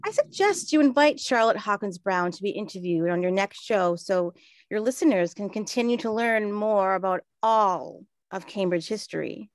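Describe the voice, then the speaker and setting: A woman playing an earnest, composed scholar in a radio play, speaking in a measured, instructive way. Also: medium pitch, lively intonation, dry recording, lightly acted. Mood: grave, earnest.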